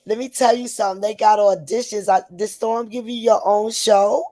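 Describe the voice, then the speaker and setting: Familiar woman caller with mid-pitched voice. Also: fairly even intonation, normal speed, dark tone. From Harlem, New York City. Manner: familiar, uses terms of endearment. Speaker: panel guest caller. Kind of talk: casual chat.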